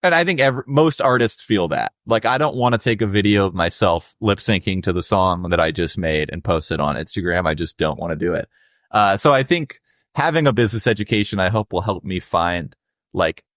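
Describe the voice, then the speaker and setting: A young adult man with a low voice, a musician guest talking casually and reflectively in an interview, with many fillers. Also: animated, normal speed, a bit bright, dry recording, clean studio sound.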